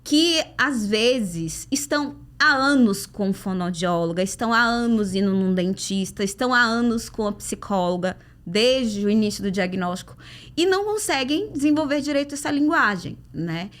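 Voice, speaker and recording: A woman's voice: on the high side, lively intonation, moderate pace, fairly bright tone. A woman with a Brazilian Portuguese accent hosting a conversational podcast interview, talking her way toward questions with frequent little tag checks. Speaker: podcast host.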